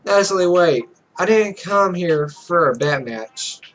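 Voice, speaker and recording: A man's voice: high voice, expressive, a little slow, fairly bright tone, poor audio. A man reading a visual novel aloud as a let's player, with casual, sweary asides. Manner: sweary, loose off-script reactions. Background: game music.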